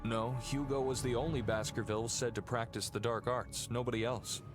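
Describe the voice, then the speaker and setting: A male actor voices the mournful heir of a cursed family in a mystery game. His voice is mid-pitched. He explains earnestly and solemnly, with prominent music behind him. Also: fairly even intonation, brisk, close to an everyday voice. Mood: grave. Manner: earnest, expository. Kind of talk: video game cutscene acting. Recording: loud music under the voice.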